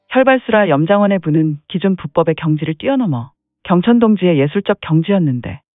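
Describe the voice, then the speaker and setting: Man narrating a martial-arts audio drama, fairly high voice, dramatic, "heh heh" interjections, thin phone-like sound, dry, faint music. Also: dry recording. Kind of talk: scripted dramatic storytelling narration.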